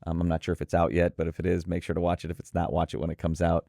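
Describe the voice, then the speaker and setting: A man with a very low voice runs a relaxed interview, circling his questions with restarts and second thoughts. The sound is close and dry, with almost no room echo. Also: racing, gravelly. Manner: thinks aloud with restarts and hedges. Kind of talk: casual conversational interview.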